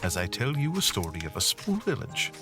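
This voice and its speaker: A man playing a storybook narrator, telling a scripted holiday tale in a deliberate, dramatic way. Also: fairly low pitch, huge pitch swings, music in the background. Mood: inviting, mysterious.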